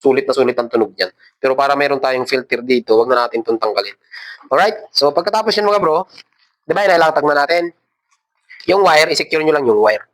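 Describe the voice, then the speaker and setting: A Filipino man with a mid-pitched voice hosts a casual how-to. He talks informally, walking the listener through each step while his hands are busy with the work. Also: expressive, fairly quick, a bit bright. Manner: informal, instructing step by step while handling parts. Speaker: tutorial host. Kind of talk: casual hands-on tutorial talk.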